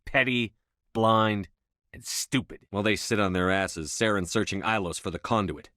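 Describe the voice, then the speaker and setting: A man's voice: on the low side, moderate pace, pushed and bright, no echo, studio quality. A man voicing a resolute, earnest human starship commander in a video game, firm and clipped in his delivery. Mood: angry, frustrated. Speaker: voice actor. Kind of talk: video game dialogue voice acting.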